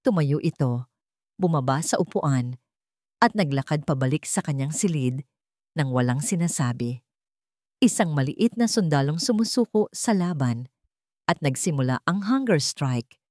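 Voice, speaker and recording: A woman's voice: low-pitched, animated, measured pace, long pauses, darkish tone, no echo, clean studio sound. A woman with a Filipino accent narrating a dramatic scripted story.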